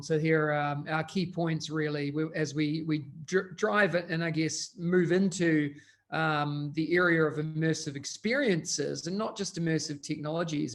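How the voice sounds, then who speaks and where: A man, an education technology consultant, hosting a conference session and talking with many uh and um fillers. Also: a little high. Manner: fluent but full of uh and um fillers. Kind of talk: conference presentation.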